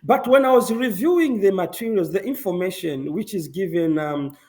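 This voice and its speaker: A young man from Zambia, speaking as an interview guest, animated and emphatic, laughing often and repeating himself for emphasis. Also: high-pitched, moderate pace. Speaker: guest, public health professional. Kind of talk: conversational interview answers.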